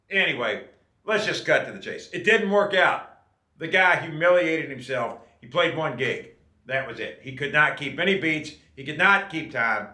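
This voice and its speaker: A man's voice: on the high side, lively intonation, moderate pace, bright, forceful tone, echoey room. A man in his late fifties giving casual, folksy advice to viewers, often checking in with "okay?". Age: 50s. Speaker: drummer and channel host.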